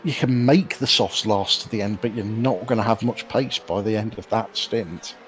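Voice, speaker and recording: A man giving casual, analytical live commentary on a sim race, explaining things in a measured way. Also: fairly low pitch. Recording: faint noise behind voice.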